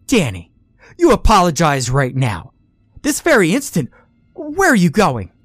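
A man's voice: high-pitched, huge pitch swings, moderate pace, slightly rough, no room sound, clean studio sound, soft background music, pitched above the performer's own voice, full character voice. A man voicing a stern, protective mother who scolds her son. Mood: scolding.

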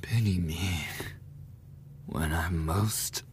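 A young man playing a lovesick, defensive school bully in a boyfriend ASMR roleplay, speaking haltingly in a husky, breathy voice. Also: low-pitched, a little hiss or hum. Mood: pained, bitter. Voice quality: husky, breathy, often dropping to a whisper. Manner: halting, swearing, coughing between phrases.

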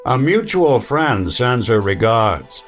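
An actor playing a weary, wry, hard-boiled synthetic detective with a noir delivery in an old-time radio drama. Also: male voice, mid-range voice, very animated, slow pace, faint music behind. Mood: wry, menacing.

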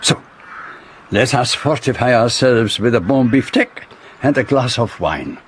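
A man's voice: medium pitch, expressive, measured pace, lightly acted. Man playing pompous French-speaking detective, noisy background. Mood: measured, fastidious. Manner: precise, formal, pompous. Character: fastidious, self-important foreign male detective, courteous and shrewd. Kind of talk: radio drama acting.

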